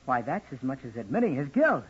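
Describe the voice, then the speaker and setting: A man's voice: medium pitch, sing-song, fairly quick, slightly rough. An actor playing an earnest, polite, determined young male college student in 1940s radio drama style. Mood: inquisitive, determined. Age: young adult. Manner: eager, polite, deferential. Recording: dull, noisy old radio recording.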